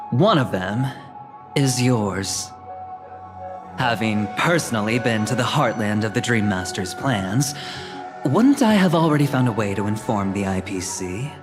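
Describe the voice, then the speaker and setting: An actor playing a smug, theatrical young gambler in a video game, speaking in a deliberate, taunting showman's manner. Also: lowish voice. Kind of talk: dramatic video game voice acting. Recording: reverberant hall effect, music underneath. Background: background music.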